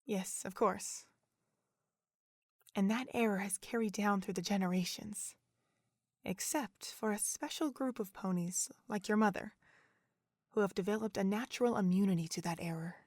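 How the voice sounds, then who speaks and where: A woman playing a calm, motherly pony doctor in an audio drama, gentle and deliberate. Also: mid-range voice, no echo, studio quality, close to an everyday voice. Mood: reassuring, solemn.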